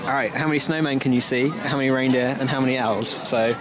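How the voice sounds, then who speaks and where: A man's voice: medium pitch, steady tone, quick pace. A young British man giving chatty, improvised commentary as he films a casual walk-around vlog outdoors with a camera at arm's length. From Britain. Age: young adult. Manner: chatty, loosely improvised observations. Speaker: travel vlogger. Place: outdoors in a busy theme park. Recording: outdoor crowd chatter and heavy ambient noise.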